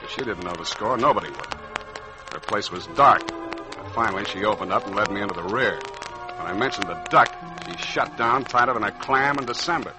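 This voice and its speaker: Deadpan hard-boiled radio detective, acted by a man. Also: on the low side, brisk, natural voice, rough recording. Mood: wry. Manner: terse, slangy, deadpan delivery. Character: tough wisecracking hard-boiled private detective, adult man. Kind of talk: old-time radio detective drama acting with narration. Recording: vintage radio recording with music behind.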